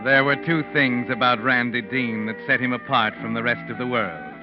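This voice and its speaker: An actor playing a sinister, all-knowing mystery narrator who speaks ominously in 1940s radio style. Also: male voice, mid-range voice, normal speed, lightly acted. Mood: sly, knowing. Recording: dull old radio recording, organ music.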